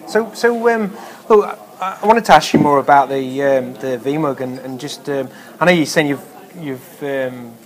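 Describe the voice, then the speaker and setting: A man hosting a casual interview at a conference, asking questions with many pauses and fillers. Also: medium pitch. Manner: hesitant, filler-heavy, laughs easily. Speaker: interviewer, user group organiser. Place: conference venue.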